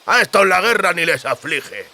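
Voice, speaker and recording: A man's voice: sits high, animated, moderate pace, sharp tone, voice pushed up, exaggerated voice. A man voicing a bitter, coarse ruffian with a throaty, gargling voice and spiteful manner.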